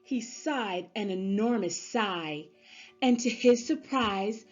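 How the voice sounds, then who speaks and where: A woman narrating a children's story aloud in an expressive storybook-reader style. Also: medium pitch, slow. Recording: slightly dull sound, music underneath. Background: music.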